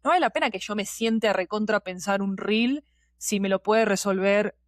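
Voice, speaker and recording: A woman's voice: mid-range voice, normal speed, no echo, studio quality. A woman with a Rioplatense Spanish accent giving her opinions as a podcast guest in casual, slangy conversation. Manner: informal, slangy, with frequent conversational tags. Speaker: podcast guest, audio fiction producer.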